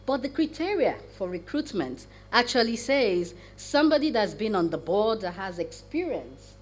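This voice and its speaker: A woman who has a cough and sounds croaky, speaking as a panelist in a measured, explanatory way over a video call. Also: medium pitch, sing-song. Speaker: corporate board evaluation advisor and panelist. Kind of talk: panel discussion answer.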